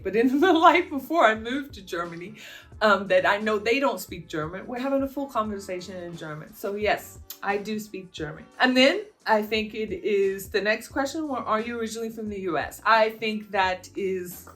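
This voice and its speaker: A woman in her fifties from the United States, chatting casually through a Q&A with her viewers, rambling a little and filling pauses with "um" and "uh". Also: mid-range voice, a bit bright. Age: 50s. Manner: chatty and meandering, with frequent fillers. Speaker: vlogger answering viewer questions. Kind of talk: casual Q&A monologue.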